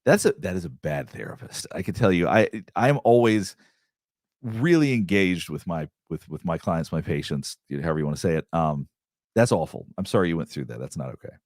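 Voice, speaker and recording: Low voiced therapist guy rambling thru viewer questions, lots of ums, dead dry room. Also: expressive, fairly quick, darkish tone, no room sound. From Southeastern United States. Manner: rambling, many restarts and fillers, candid. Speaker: therapist hosting a live Q&A. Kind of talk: casual livestream chat answering viewer questions.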